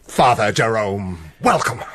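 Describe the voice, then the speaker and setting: Imperious gothic prince, husky, volatile. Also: male voice, fairly low pitch, animated, a little slow, a bit bright, no echo. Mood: imperious anger. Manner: commanding, theatrical. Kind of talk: period gothic audio drama acting.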